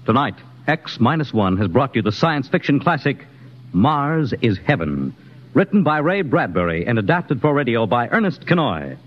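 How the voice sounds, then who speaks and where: A man's voice: medium pitch, very animated, moderate pace. A man announcing scripted credits in a formal, declamatory 1950s radio style. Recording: dull 1950s radio recording, music behind.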